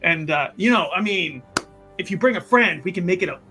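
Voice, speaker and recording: A man voicing a roguish, thrill-seeking occultist in a tabletop game, rambling, excitable and conspiratorial. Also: high-pitched, brisk, soft background music. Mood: shady, eager.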